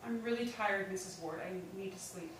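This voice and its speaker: A woman's voice: mid-range voice, normal speed, a bit bright, very echoey, close to an everyday voice. Woman playing nineteen-year-old girl, polite, earnest, hesitant, stage drama, distant mic, faint background noise. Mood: weary. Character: polite, earnest nineteen-year-old pharmacy helper, uneasy but honest. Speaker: actor in a staged reading. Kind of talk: stage play reading. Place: indoor staged reading, mic far off.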